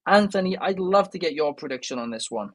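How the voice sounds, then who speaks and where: A man's voice: on the high side, lively intonation, fairly quick, dry recording, studio quality. A young Welsh man hosting a casual wrestling news podcast, chatty and enthusiastic, turning to the viewers often. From Wales. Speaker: podcast host.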